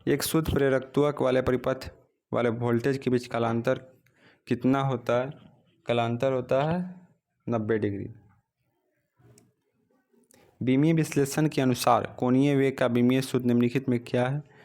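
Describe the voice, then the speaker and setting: A man teaching an exam practice lesson, reading out questions and then giving the answers in a steady drilling rhythm, recorded on a phone. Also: mid-range voice, normal speed, creaky.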